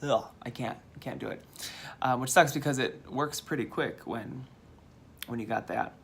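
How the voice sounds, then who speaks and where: A man from Minnesota with a fairly high-pitched, slightly hoarse voice, telling a rambling, self-deprecating story on his vlog. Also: moderate pace, a bit bright. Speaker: vlogger.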